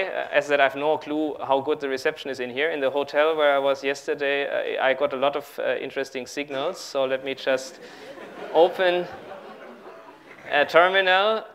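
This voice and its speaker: A man with a bright, forward voice gives a live technical demonstration to a conference. He talks haltingly, improvising as he works, at a middle pitch. There is a faint hiss behind him. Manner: halting, improvising while working at a laptop. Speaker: conference speaker. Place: large auditorium on stage with audience.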